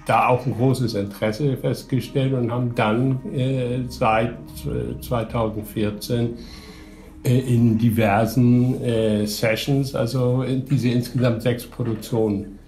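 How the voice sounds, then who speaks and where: A middle-aged man giving an interview answer, halting and hesitant with long pauses. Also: medium pitch, level tone, darkish tone, soft background music. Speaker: 3D audio producer. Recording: echoey room sound.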